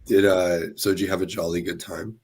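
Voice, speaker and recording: Deep, husky, gruff-voiced man. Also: flat delivery, brisk, darkish tone. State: slightly hoarse. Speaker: podcast co-host. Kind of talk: casual chat.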